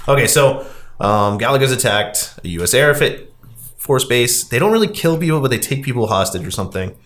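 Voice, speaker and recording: A man's voice: medium pitch, animated, a little fast, fairly bright tone. A man hosting a podcast, casually recapping a film in a wry, critical, conversational way.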